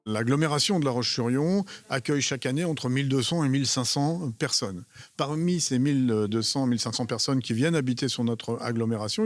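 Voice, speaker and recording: A man giving measured explanatory interview answers as a local official, hesitating and repeating words as he searches for phrasing. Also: medium pitch, no room sound.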